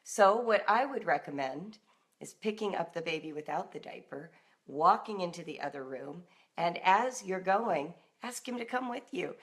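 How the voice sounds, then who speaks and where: A woman's voice: fairly low pitch, lively intonation, normal speed. American woman giving emphatic, informal coaching. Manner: emphatic, direct, conversational, addressing listeners. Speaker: parenting and homeschool coach, live broadcast host. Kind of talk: informal live coaching talk with acted-out example dialogue.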